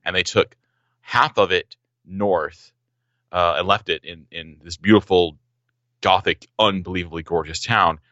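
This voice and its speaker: A man's voice: low voice, fairly even intonation, unhurried, a bit bright, no room sound, muffled recording. A young man guesting on a podcast, telling stories with enthusiasm and a few ums. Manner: enthusiastic storyteller, some ums. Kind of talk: casual podcast conversation.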